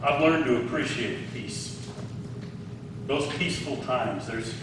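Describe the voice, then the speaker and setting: A man's voice: medium pitch, unhurried, background noise. A man in his sixties preaching a sermon in a conversational way, asking his listeners questions. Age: 60s. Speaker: pastor. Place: large echoey hall. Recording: echoey hall, distant mic.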